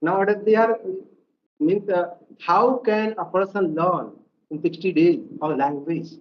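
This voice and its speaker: A man's voice: sits high, measured pace, dull audio, slight background noise. Hesitant man searching for words. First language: Hindi or Urdu. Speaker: learner, guest.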